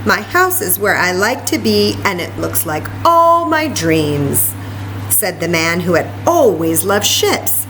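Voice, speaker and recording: A woman hosting a children's library story time, reading a picture book aloud with animated, exclamatory asides straight to her young listeners. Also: fairly low pitch, takes it slowly, a little hiss or hum, low-quality recording.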